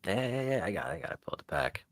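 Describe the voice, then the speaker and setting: A man playing a tabletop roleplaying game, improvising actions and in-character speech in a halting, repetitive way. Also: sits low, very animated, a bit bright, no room sound, studio quality. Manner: halting, repeats words.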